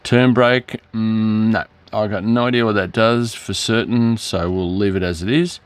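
A hoarse middle-aged man, rambling through a casual product demo in his hobby shop, with lots of fillers and self-corrections. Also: fairly low pitch, steady tone, measured pace. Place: hobby shop, shelves behind.

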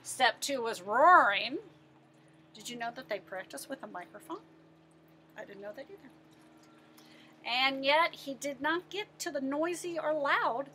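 A middle-aged American woman reads a picture book aloud to children in an expressive, emphatic way, asking questions and answering them. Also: high voice, measured pace, pauses often, slight background noise. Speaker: teacher-librarian reading to children. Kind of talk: animated picture-book read-aloud with questions to young listeners.

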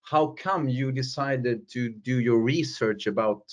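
A man's voice: medium pitch, unhurried. A Swedish-accented man hosts a video call interview in a plain, friendly way. His voice sits in an ordinary middle range, easy and unforced. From Sweden. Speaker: host. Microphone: webcam on video call.

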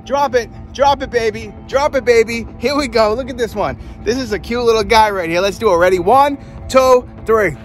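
A man's voice: extremely high pitch, moderate pace, faint music behind. A man with a Massachusetts accent, tired but playful, vlogging while shopping in big stores on a handheld camera. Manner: playful, goofy, jokey. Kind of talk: energetic shopping vlog. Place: inside big retail stores.

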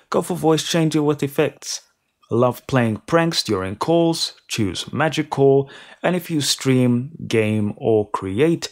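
A man reading a scripted narration as the host of a tech review. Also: mid-range voice.

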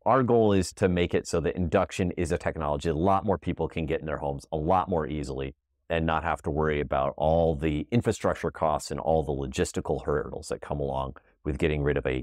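A man's voice: very deep voice, a little mellow, no echo, clean studio sound. A man talking casually as an interview guest, explaining things in a plain, conversational way. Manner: conversational, explains with everyday analogies. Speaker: guest, appliance company founder.

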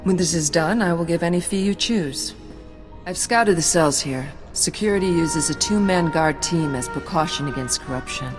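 A guarded female warrior from a video game, played by a woman, terse and commanding. Her solemn voice sits on the low side and rings in a long reverb, with music clearly behind it. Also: normal speed, lightly acted. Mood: guarded, businesslike. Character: stern, guarded alien female Mandalorian warrior. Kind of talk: video game cutscene acting.

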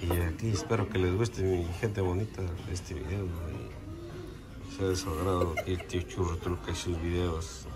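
A man's voice: low voice, fairly even intonation, normal speed. A man with a Mexican Spanish accent chatting casually in a restaurant booth, showing food and sending long lists of greetings and blessings. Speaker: vlogger. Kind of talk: casual food vlog chat. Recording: loud music playing behind.

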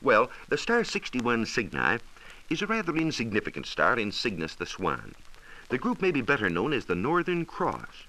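An American man explaining science in a measured, precise, didactic way, in a scripted 1940s radio style. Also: mid-range voice, huge pitch swings, pushed and bright, low-quality recording. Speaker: science reporter explaining astronomy. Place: radio studio. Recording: hissy 1940s radio transcription disc.

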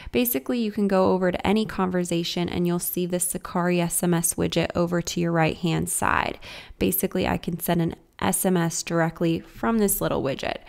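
A woman presenting a software tutorial in an informal, explanatory way, like a casual guided walkthrough. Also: fairly low pitch, vocal fry. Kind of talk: casual scripted screen-share walkthrough.

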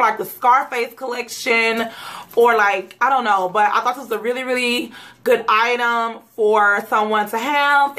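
Chatty hoarse woman vlogger. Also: on the high side, pushed and bright. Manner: chatty, rambling, frequent "um" and "like". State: hoarse from a cold. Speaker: YouTube vlogger. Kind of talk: casual gift-guide chat to camera. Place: bedroom at home. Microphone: camera mic, seated facing camera.

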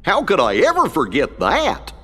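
A man voicing a good-hearted, slow-witted cartoon dog in a video game, with a husky, folksy, bumbling drawl. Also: fairly high pitch, wildly swooping pitch, bright, forceful tone, faint music behind, full character voice. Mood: worried. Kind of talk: video game cutscene voice acting. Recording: long hall reverb.

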